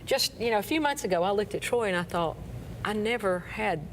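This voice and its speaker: Woman with a low voice. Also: lively intonation, a little hiss or hum, rough recording. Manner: chatty, plainspoken, quick with dry one-liners. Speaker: guest singer. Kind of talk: casual TV talk show chat.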